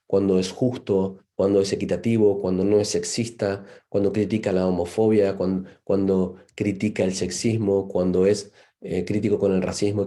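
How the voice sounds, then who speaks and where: A man's voice: lowish voice, dark tone. A man from Argentina with an Argentine accent, giving a seminar lecture as a psychologist, thoughtful and full of fillers and "¿no?" tags. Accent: Argentine Spanish.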